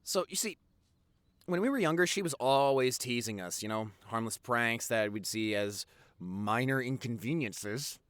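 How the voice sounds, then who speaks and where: A man's voice: mid-range voice, animated, fairly bright tone, smooth, dry recording, close to an everyday voice. A man playing a teasing, silly, doting boyfriend who has just woken up, rambling and gushing in an intimate audio roleplay. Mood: animated storytelling. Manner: rambling, gushing, halting with repeated words.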